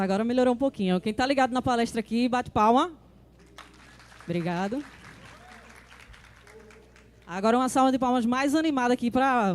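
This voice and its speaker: A woman moderating a live panel on a conference stage, talking in a halting way with many fillers and restarts. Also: a little high, a little mellow, slight background noise. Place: conference stage before an audience.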